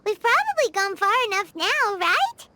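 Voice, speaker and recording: A woman voicing a tiny, bubbly fairy girl companion in a game, who chatters excitably and talks about herself in the third person. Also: moderate pace, heavily acted. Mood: chirpy. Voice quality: high, squeaky and excitable. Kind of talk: game cutscene voice acting.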